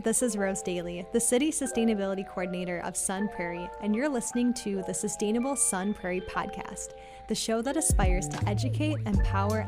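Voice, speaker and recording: A woman hosting a podcast, reading a scripted intro and asking guests questions in an upbeat, encouraging way. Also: mid-range voice. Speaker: podcast host.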